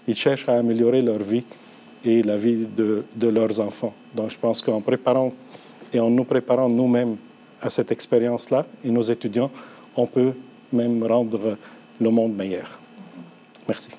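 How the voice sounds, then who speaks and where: A middle-aged man from Lebanon, an Arabic speaker, giving an earnest, measured conference talk at a university. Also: mid-range voice, fairly even intonation, warm tone.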